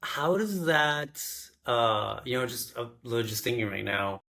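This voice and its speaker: Guy hosting a chat, gravelly voice, stumbles thru his questions. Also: medium pitch, lively intonation, pushed and bright. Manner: halting, restarting questions. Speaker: podcast host. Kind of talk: casual interview.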